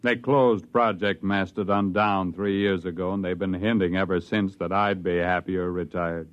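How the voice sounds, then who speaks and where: A man's voice: low voice, steady tone, moderate pace, close to an everyday voice. An actor playing an aging, stubborn retired government man obsessed with his old project, in mid-century radio drama style with emphatic delivery. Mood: weary, insistent. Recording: dull vintage radio recording.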